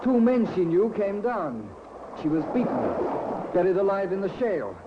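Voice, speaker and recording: A cold married lover, curt and impatient, with a lively, swooping pitch. It is old radio drama acting, muffled under clear noise. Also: male voice, moderate pace. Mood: matter-of-fact. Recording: muffled, noisy 1950s radio recording.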